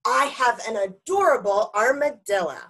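Woman acting a high, lilting, pushy shelter lady. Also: lively intonation, fairly bright tone. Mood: bright, coaxing. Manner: coaxing, exaggerated, drawn-out. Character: eager, persuasive animal shelter saleswoman, cheerfully pushy. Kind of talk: theatrical children's storybook read-aloud.

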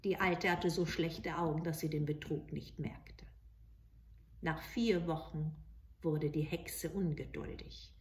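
A middle-aged woman narrating a fairy tale for children with expressive, dramatic and deliberate phrasing. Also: low-pitched. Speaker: storyteller. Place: small indoor room with bookshelves.

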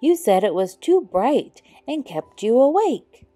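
A woman's voice: on the high side, very animated, measured pace, dark tone, husky, faint music behind, voice pushed up, heavily acted. A woman voicing small forest animals in a children's storybook, earnest and plain-spoken. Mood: accusing. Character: accusing, later apologetic small forest animals. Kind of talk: storybook character voice.

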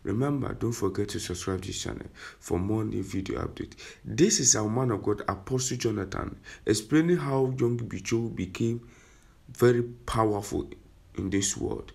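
Husky, gravelly male channel host. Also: lowish voice, normal speed, darkish tone. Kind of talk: spoken channel introduction.

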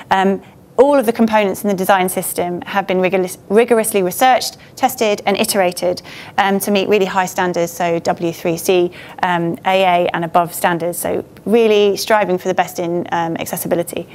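A woman's voice: medium pitch, darkish tone. A woman speaking informally as a presenter on an auditorium stage, conversational and a little hesitant, with loose phrasing. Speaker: conference speaker, government design team member. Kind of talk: informal presentation to a meetup audience.

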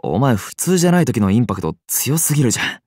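Casual young warrior for an anime game: medium pitch, slangy, blunt, dry delivery. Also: male voice, big pitch swings, fast, a little mellow, slightly rough, no room sound. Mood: dry, exasperated. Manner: slangy, dry, muttered retorts. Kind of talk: anime game character acting.

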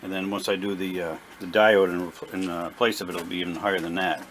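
A man giving a casual, unscripted hands-on repair demonstration in a plain, halting, explanatory way at a workshop bench. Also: deep voice, fairly even intonation, fairly bright tone, slight background noise. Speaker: presenter of a radio repair video. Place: small indoor workshop at a workbench.

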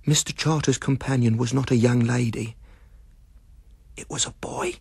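A man's voice: medium pitch, steady tone, normal speed, gravelly, a little mellow, husky, no room sound. Earnest, polite teenage lodging-house lad played by a male reader, confiding and deferential, audiobook. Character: earnest, deferential teenage boy of seventeen, landlady's son.

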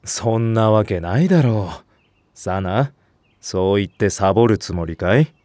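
A man voicing a calm, fatherly senior nursery teacher in a voice drama, patient and gently firm. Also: on the low side, a little slow, no room sound. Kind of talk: Japanese voice drama acting.

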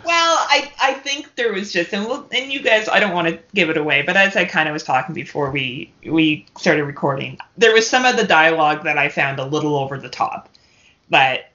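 A woman with a bright, slightly low voice chats as a podcast guest. She is candid and swears freely, on a somewhat dull lo-fi recording. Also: animated, a little fast. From Canada. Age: 30s or older. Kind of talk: casual chat.

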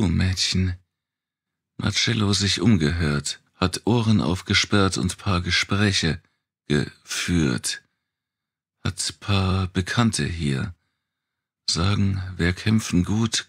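A man voicing an anxious woman in an audiobook, translating in halting, broken German. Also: low voice, gravelly, slightly rough, no echo, clean studio sound, pitched above the performer's own voice, exaggerated voice. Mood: hushed, informative.

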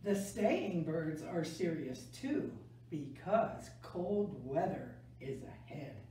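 An older woman reading a picture book aloud to children in a gentle, deliberate way, stressing key words. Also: low voice, animated. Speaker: library story time reader. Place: small indoor room, seated. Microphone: camera a few metres away. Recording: echoey room, distant mic, faint noise.

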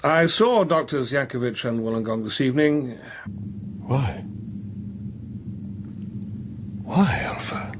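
A man acts a courteous but probing priest in a naturalistic radio drama. His voice sits at a middling pitch, with measured, hesitant questioning. There is audible noise behind him. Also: expressive, natural voice. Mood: weary, questioning.

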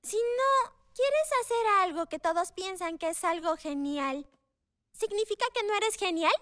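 A woman's voice: extremely high pitch, lively intonation, a little slow, a bit bright, no room sound, studio quality, full character voice. A woman voicing a sensible, questioning young girl in a Latin American Spanish cartoon dub. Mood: earnest.

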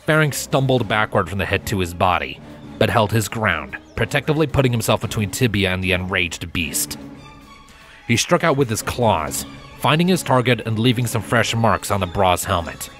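A man's voice: fairly low pitch, expressive, moderate pace, a bit bright. A man reading scripted fantasy fiction as a storybook narrator. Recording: music bed behind narration.

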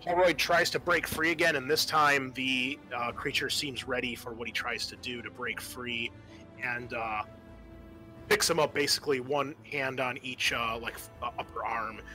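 A man's voice: mid-range voice, fairly even intonation, normal speed, pushed and bright, rough recording. A man acting as game master, explaining rules and narrating action casually and a bit hesitantly. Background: music behind the voice.

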